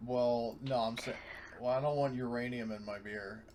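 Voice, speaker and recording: A man's voice: medium pitch, fairly even intonation, normal speed, darkish tone, a little hiss or hum. A man chatting casually and a bit profanely as a player in an online tabletop game.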